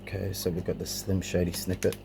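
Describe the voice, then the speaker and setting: A man with a deep voice full of vocal fry presents his collection casually. He speaks informally and without a script, hesitating now and then. The homemade recording has clear background noise. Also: completely flat delivery, brisk. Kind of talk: casual show-and-tell narration. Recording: clearly noisy amateur home recording.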